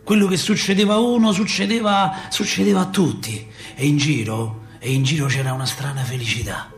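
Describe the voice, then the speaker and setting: Man acting wistful working-class father, high male voice, Roman accent, colloquial radio-theatre monologue, emphatic repetitions. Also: brisk, faint music behind, close to an everyday voice. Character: working-class Roman father recalling 1970s street politics, wistful, impassioned.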